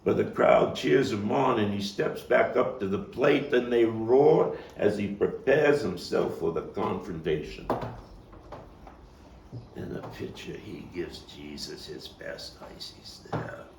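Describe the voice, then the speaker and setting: A man performing a theatrical stage monologue as a streetwise, nostalgic Brooklyn baseball fan, telling it with deliberate pauses and big swings in volume. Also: fairly low pitch, lively intonation, gravelly, exaggerated voice. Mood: dramatic storytelling. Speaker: actor and playwright performing his own monologue. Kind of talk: dramatic stage monologue at a table read. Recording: roomy, distant mic with faint noise.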